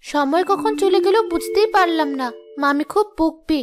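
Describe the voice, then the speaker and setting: A woman's voice: very high-pitched, moderate pace. A woman voicing a meek, sweet, overworked orphan girl with a plaintive, polite manner in a cartoon. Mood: shy, friendly. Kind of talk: cartoon moral-tale dialogue.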